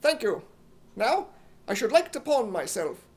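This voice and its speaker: A man voicing an excitable, gullible, indignant comic hero in a loud, exclamatory, put-on British accent, in 1950s radio comedy style. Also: extremely high pitch, a little slow, exaggerated voice. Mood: brisk, bold. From United States.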